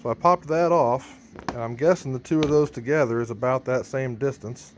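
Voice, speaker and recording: A man's voice: mid-range voice, normal speed, poor audio. Casual man vlogging over background noise. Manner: informal, thinking aloud while working. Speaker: band member showing a PA rack rebuild. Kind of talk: casual hands-on vlog narration.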